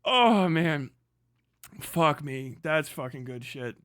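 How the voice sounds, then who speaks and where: A man's voice: on the high side, expressive, a bit bright, no echo, clean studio sound. A man hosting a casual livestream, commenting in a profane, joking way and often stumbling over repeated words. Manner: profane, joking, halting and repetitive in delivery.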